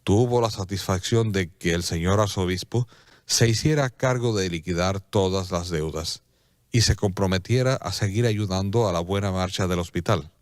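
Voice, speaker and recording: A man narrating a scripted radio drama in a solemn, measured storytelling manner. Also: low voice, no room sound, clean studio sound. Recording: clear music bed behind.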